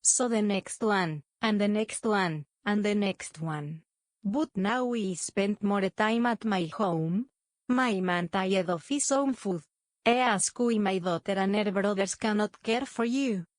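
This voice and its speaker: Older island woman as acted by a female reader, storybook character: kindly, earnest, mid-pitched, halting simple English, motherly. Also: dry recording, lightly acted.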